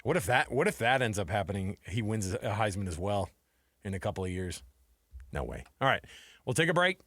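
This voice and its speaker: A man's voice: on the low side, big pitch swings, fairly quick, a bit bright, no echo, studio quality. An American man co-hosting a sports radio show in a casual, breezy, colloquial way.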